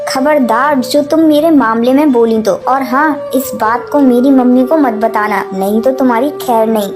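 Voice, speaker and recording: A woman's voice: a little high, moderate pace, close to an everyday voice. A woman voicing a spoiled young rich daughter bird in a children's cartoon, haughty and commanding. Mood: threatening. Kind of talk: children's cartoon story dubbing. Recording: music bed behind voice.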